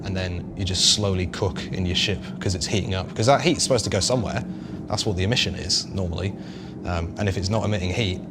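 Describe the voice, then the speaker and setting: A man talking casually and technically as a game developer, halting as he thinks aloud. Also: low-pitched. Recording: loud vehicle noise behind voice.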